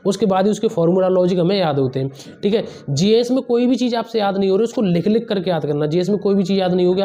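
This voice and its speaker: High-pitched man coaching students. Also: dark tone. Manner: earnest, emphatic, repeats phrases for stress. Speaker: exam preparation coach. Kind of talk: motivational advice to students, informal.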